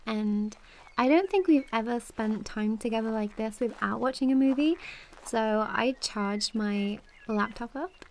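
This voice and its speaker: A young woman playing a warm, playful best friend in an intimate ASMR roleplay, chatting casually with a giggly, teasing, hesitant manner. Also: a little high, slight background noise. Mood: teasing, fond.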